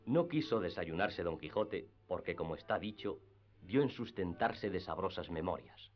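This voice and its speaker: A man's voice: low voice, lively intonation, normal speed. A man narrating a literary radio drama from a script. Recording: slightly dull radio recording. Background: music.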